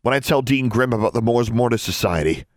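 Defiant, hot-tempered schoolgirl played by a male narrator, raised pitch, confrontational, animated. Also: brisk, no echo, studio quality, lightly acted. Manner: snappy, confrontational.

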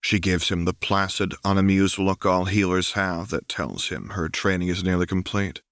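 A man's voice: deep voice, steady tone, moderate pace, a bit bright, no echo, clean studio sound. A man reading scripted fiction aloud as an audiobook narrator. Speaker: audiobook reader.

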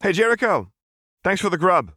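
Man putting on a high wheedling street kid. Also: sing-song, normal speed, sharp tone, dry recording, clean studio sound, full character voice. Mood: cheerful. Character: scrappy young street boy, eager and wheedling.

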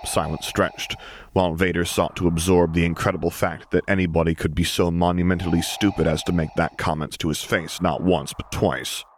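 A man's voice: deep voice, little pitch movement, fairly quick, slight background noise. A man reading a story aloud as dramatic, scripted audiobook narration.

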